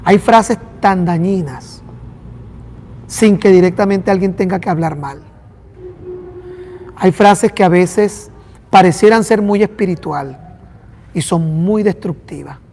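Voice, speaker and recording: High-voiced man preaching in a reverberant hall. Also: takes it slowly, long pauses, a little hiss or hum. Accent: Venezuelan. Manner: animated preaching with acted-out dialogue. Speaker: pastor. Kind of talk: sermon.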